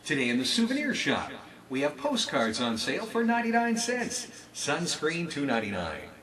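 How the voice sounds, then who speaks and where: A man's voice: a little high, huge pitch swings, moderate pace, fairly bright tone, distant mic. A man playing an upbeat cruise director and store announcer, giving cheery, formal promotional announcements. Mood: brisk, selling. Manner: formal greeting, cheery sales patter. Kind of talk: promotional public-address announcement.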